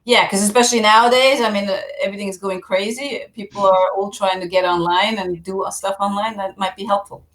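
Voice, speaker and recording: A woman's voice: mid-range voice, steady tone, fairly quick, few pauses, a bit bright, rough recording. A woman hosting a casual interview on a video call, upbeat and greeting viewers. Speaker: host.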